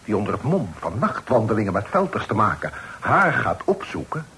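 A man's voice: lowish voice, big pitch swings, fairly quick, bright, forceful tone, breathy edge, heavily acted. A man playing a stern, suspicious father and magistrate in a Dutch radio drama, speaking in a formal, reproachful way. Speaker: radio play actor. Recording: faintly noisy old radio play recording.

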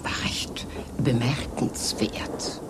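A woman playing a genteel, arch lady with halting, mannered politeness in a satirical radio play. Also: background noise. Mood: amused, arch. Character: genteel, hesitant, coolly arch lady hostess.

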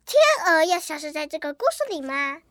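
A woman voicing a skeptical, impatient young listener who answers with quick, exasperated retorts. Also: sits high, expressive, sharp tone, dry recording, clean studio sound. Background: background music.

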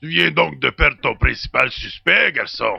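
An actor playing a quirky, theatrical male sidekick in a science-fiction audio drama, with a raspy, gravelly voice. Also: medium pitch, normal speed, dry recording. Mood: matter-of-fact. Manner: theatrical, keeps addressing his listener as "boy". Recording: dull, slightly lo-fi sound.

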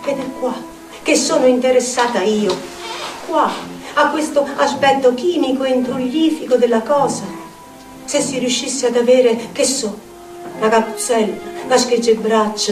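An actress playing a tormented, eccentric Neapolitan outcast haunted by spirits, in a theatrical monologue with a Neapolitan accent and incantatory, deliberate repetitions. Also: female voice, on the high side, lightly acted. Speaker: stage actress. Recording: echoey theatre audio, distant, music behind.

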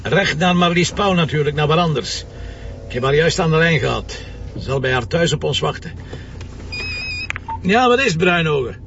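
An actor playing an impatient, sardonic police commissioner with a Flemish accent, brusque and pressing in a TV detective drama. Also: male voice, fairly high pitch, expressive, normal speed, pauses often, a bit bright, faint music behind. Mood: exasperated, urgent. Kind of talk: naturalistic TV detective drama dialogue. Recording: muffled distant sound with background noise.

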